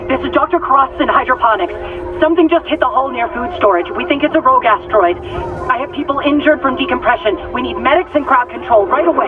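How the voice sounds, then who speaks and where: A woman's voice: sits high, very noisy. An actress playing an earnest, committed woman scientist recording audio logs in a sci-fi horror game. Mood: alarmed. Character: committed female hydroponics scientist facing deadly disaster. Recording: tinny comms-like log, music behind.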